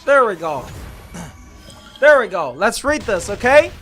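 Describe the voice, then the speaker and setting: A man streaming a game live, his first language Chinese, chatting casually and breaking into loud exclamations and swearing. His voice is bright and a little sharp, and his pitch leaps up and down. Faint music plays behind him. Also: sing-song, slow pace.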